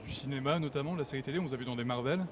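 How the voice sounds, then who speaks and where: A man's voice: medium pitch, very fast, noisy background. A man asking questions as an interviewer in a conversational style.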